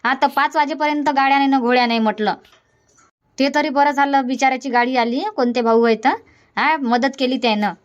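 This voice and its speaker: A woman's voice: sits high, fairly even intonation, a little fast, dull audio, close to an everyday voice. A woman voicing a middle-aged village aunt with a Vidarbha Marathi accent, who lectures and scolds in long run-on stretches. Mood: lecturing.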